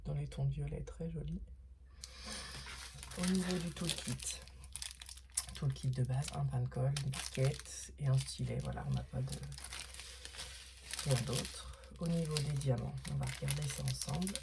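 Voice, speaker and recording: A middle-aged woman, hoarse this morning, chatting casually through an unboxing with many fillers and restarts, sometimes dropping to a whisper. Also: very deep voice, unhurried, pauses often, noisy background. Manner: drops to a whisper at times. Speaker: vlogger unboxing craft supplies.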